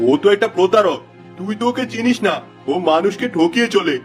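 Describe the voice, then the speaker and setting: A man's voice: high voice, fairly quick, faint music behind, lightly acted. A man voicing an exasperated, suspicious shopkeeper father in a cartoon, melodramatic and scolding. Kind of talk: Bengali cartoon fable voice acting.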